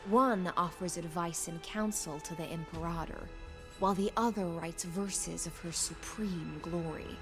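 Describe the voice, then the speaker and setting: A woman voicing a loyal, humble and ceremonious knight commander in a video game. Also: lowish voice. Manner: formal, ceremonious. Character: loyal, formal female knight commander, humble servant of an empress. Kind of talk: scripted video game voice acting.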